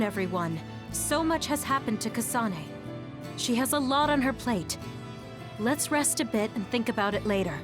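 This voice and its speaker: A woman playing a kind, composed young teammate in an English game dub, speaking gently and reassuringly. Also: high voice.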